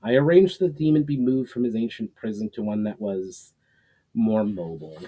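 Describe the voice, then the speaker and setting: A man voicing an ancient, forgiving guardian and mentor in a fantasy story, speaking in a solemn, formal, deliberate way. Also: lowish voice, mellow, breathy edge. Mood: grave.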